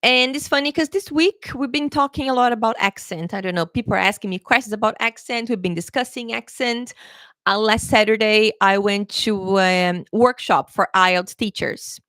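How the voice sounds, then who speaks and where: A woman's voice: on the high side, moderate pace, a bit bright, no echo. A woman English teacher giving a live exam-prep lesson, chatty and encouraging, laughing often and checking in with her viewers. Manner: laughs often, playful pet phrases.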